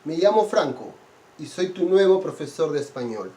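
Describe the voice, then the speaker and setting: A man's voice: fairly high pitch, big pitch swings, fairly quick, dark tone, echoey room. A middle-aged man from Peru, a native Spanish speaker with a Peruvian accent, introducing himself as a Spanish teacher in an earnest, enthusiastic way, often restarting and repeating words. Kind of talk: self-introduction video pitch.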